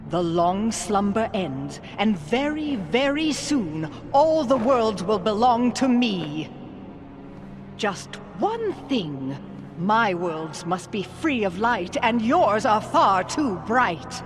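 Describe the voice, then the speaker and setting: An actress playing a cold, menacing evil sorceress in a game cutscene, speaking in a stately, pompous, theatrical way. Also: female voice, medium pitch, very animated, slow pace. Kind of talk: animated game cutscene acting. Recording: loud music behind the voice.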